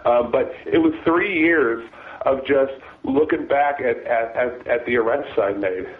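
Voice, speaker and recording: Man with a cold, raspy, halting speech, tinny phone line, middle pitch. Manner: halting, many restarts and repeated words. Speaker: guest, former deputy sheriff.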